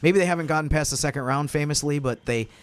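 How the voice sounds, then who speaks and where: A man's voice: fairly high pitch, fast, clear, resonant tone. A man on a sports podcast panel, talking casually in an explanatory, analytical way.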